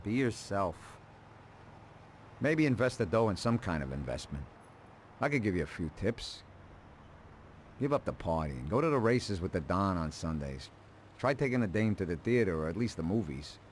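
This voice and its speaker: A low voice over faint background ambience, calm and paternal. This is a mafia counselor, handing out advice like a father. It belongs in a crime video game. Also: normal speed, long pauses, a little hiss or hum. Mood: earnest advice. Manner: paternal, advising. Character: calm, fatherly male mob adviser. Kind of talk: measured dramatic dialogue.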